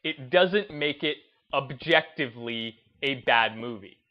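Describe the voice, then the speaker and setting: A man gives off-the-cuff commentary as a gaming video host, taking his time at an unhurried pace. He is reflective and halting, often circling back to reword a point. The sound is a little dull, with music playing behind him. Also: medium pitch. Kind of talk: unscripted video commentary over gameplay.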